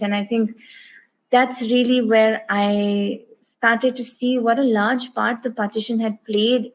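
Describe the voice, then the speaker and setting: A woman's voice: mid-range voice, darkish tone. A woman, a guest author and oral historian, answering a panel question thoughtfully, with articulate phrasing and hesitant fillers.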